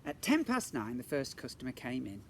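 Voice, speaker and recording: A man's voice: mid-range voice, huge pitch swings, normal speed, fairly bright tone. A man, a teacher, reading a storybook aloud to young children outdoors, playfully adding questions and asides. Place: outdoors in a garden. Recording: faint outdoor background noise.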